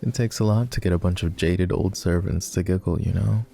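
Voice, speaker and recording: A man playing a doting, refined vampire gentleman in a romantic roleplay ASMR, with formal, courtly and gently teasing speech. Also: low-pitched, a little fast, creaky, faint music behind. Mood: teasing, affectionate.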